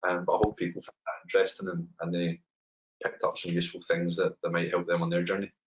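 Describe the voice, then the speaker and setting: A middle-aged Glaswegian man talks through a webinar presentation over a webcam. His voice sits very low and he speaks in a loose, chatty way, full of ums and restarts. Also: fast talker, a little hiss or hum, low-quality recording. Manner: relaxed and conversational, frequent ums and repeated words. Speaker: head of open banking technology, webinar speaker. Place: home room, indoors. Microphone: webcam on a video call.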